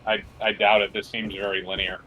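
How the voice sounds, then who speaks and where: A man's voice: fairly low pitch, little pitch movement, brisk, pushed and bright, a little hiss or hum, poor audio. A man casually chatting as co-commentator on a game stream. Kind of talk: casual gameplay chat.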